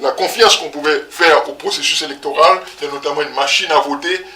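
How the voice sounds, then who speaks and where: A man's voice: a little high, steady tone, quick pace, bright, forceful tone, roomy sound, recorded from a distance, a little hiss or hum. A man born in Congo, co-hosting a community talk show and explaining the news with many hesitations. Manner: explanatory, with frequent "hein" and hesitations. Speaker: co-host. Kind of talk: conversational current-affairs talk show.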